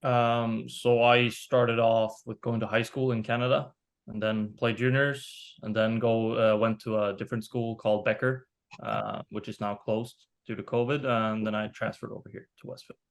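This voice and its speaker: A man's voice: on the low side, flat delivery, moderate pace, creaky. A young man from Sweden, a student athlete giving brief, plain interview answers. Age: young adult.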